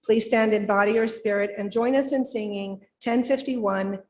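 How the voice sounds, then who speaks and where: A woman with a slightly low voice speaks to a congregation as a worship leader. She is recorded live at a church service, with faint noise behind her. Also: steady tone, normal speed, a little mellow. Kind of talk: sharing and leading at a church service.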